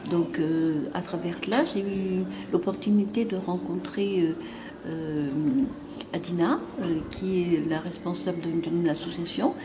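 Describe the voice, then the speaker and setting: Woman fashion designer, slow and measured, lots of fillers, lowish voice, noisy. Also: steady tone. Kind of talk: testimonial interview.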